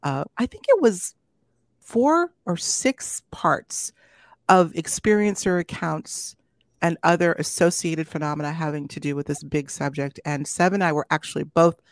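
A woman's voice: sits low, lively intonation, a little slow, no room sound, clean studio sound. A woman hosting an interview show, chatting casually and inviting her guest to tell her story. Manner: warm, chatty, addresses audience as y'all. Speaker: podcast host.

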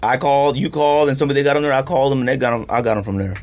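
A man's voice: mid-range voice, steady tone, very fast. A man hosting a casual podcast chat, talking in an emphatic, opinionated, slangy way. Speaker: podcast host.

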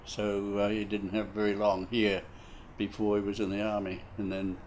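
A man in his nineties with a New Zealand accent, recalling his life in an interview, slow and halting with many fillers. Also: on the low side, completely flat delivery, darkish tone, a little hiss or hum. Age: 90s. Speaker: interviewee, war veteran. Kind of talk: casual reminiscing interview.

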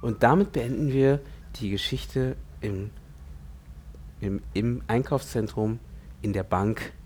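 A man's voice: medium pitch, slow, darkish tone, a little hiss or hum. A man narrating the close of a radio play.

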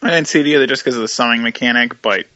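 A man's voice: medium pitch, rapid-fire, pushed and bright, dry recording. A man co-hosting a casual podcast, joking with many fillers. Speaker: podcast co-host. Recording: dull, lo-fi mic.

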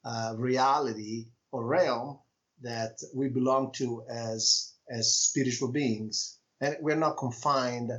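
A man with an Italian accent, speaking as an author being interviewed on a podcast, talking in a reflective, measured way with frequent pauses. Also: mid-range voice, steady tone, a bit bright, dull audio. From Italy. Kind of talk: conversational interview.

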